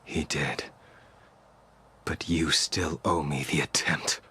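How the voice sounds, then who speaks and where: A man voicing a tormented, weary immortal swordsman in a video game, speaking gravely and with breath in the voice. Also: rumbling low, fairly even intonation, slow, pushed and bright, heavily acted. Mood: grim. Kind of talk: dramatic video game voice acting. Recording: long reverb, music behind.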